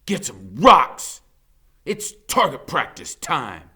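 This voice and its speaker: A man's voice: medium pitch, sing-song, slow pace, sharp tone, husky, voice pushed up, exaggerated voice. A man voicing a vicious young male gang leader in an audiobook, barking out menacing orders. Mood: menacing, gloating. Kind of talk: audiobook character voice.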